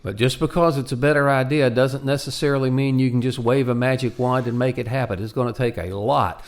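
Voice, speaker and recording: A man's voice: medium pitch, fairly even intonation, brisk. A man hosting a radio program, moving between dramatic storytelling and talk. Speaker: radio show host and narrator. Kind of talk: dramatic narration and radio chat. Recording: roomy echo, music bed.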